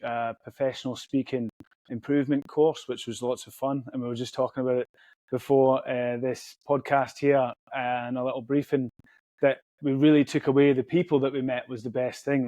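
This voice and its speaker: A man's voice: medium pitch, level tone, moderate pace, a little mellow, no room sound. A man hosting a casual podcast chat, jokey and chatty with plenty of fillers and restarts. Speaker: podcast host.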